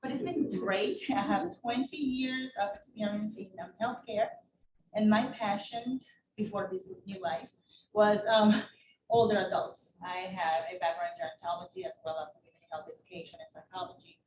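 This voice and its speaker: Lively woman introducing herself on a panel. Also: medium pitch, normal speed. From El Salvador, raised in New York. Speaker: panelist, food bank worker. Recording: roomy, distant mic with faint noise.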